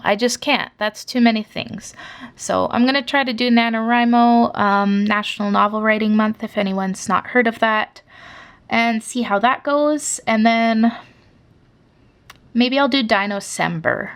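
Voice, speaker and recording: A woman's voice: medium pitch, a bit bright. A young woman from Canada chatting casually through a sketchbook flip-through, rambling with lots of fillers. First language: English. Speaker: artist showing her sketchbook.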